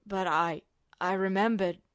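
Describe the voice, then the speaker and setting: A woman's voice: mid-range voice, fairly even intonation, measured pace, smooth, no echo, studio quality, voice pushed down, close to an everyday voice. A woman voicing a shaken, guilt-ridden adult man, a colonel, who stammers in disbelief. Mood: guilty, halting. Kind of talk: podfic reading of fan fiction.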